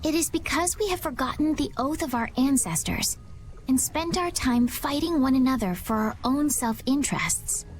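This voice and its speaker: A woman voicing a gentle, bookish young noblewoman in a video game, speaking earnestly and formally. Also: high voice, animated, moderate pace, faint music behind. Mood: solemn.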